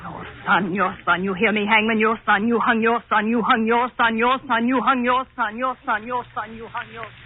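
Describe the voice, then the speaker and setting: A woman's voice: fairly high pitch, steady tone, fairly quick, hardly any pauses, close to an everyday voice. An actress playing a bitter, anguished mother and former wife, intense and accusing, in 1940s radio horror style. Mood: wailing accusation. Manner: intense, accusing, hammers repeated phrases. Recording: tinny, hissy 1940s radio recording.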